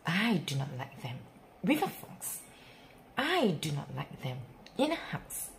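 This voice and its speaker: A woman with a slightly low voice reads a rhyming picture book aloud to young children. Her delivery is slow and theatrical, with emphatic pauses and pitch that leaps wildly from phrase to phrase. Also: sing-song, slight background noise. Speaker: children's story reader.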